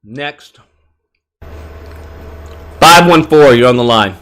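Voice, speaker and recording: A man's voice: mid-range voice, expressive, slow pace, fairly bright tone. A man hosting a live call-in show, blunt and profane, mocking his callers.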